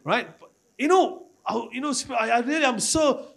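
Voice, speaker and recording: High-voiced Malaysian man preaching. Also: animated, normal speed, gravelly, a bit bright. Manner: repetitive, exhorting, often addressing the congregation. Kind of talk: impassioned church sermon.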